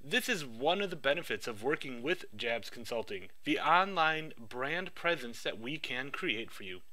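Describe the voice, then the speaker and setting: A man giving a rehearsed project pitch with an upbeat sales-pitch delivery. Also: sing-song, normal speed, sharp tone, low-quality recording.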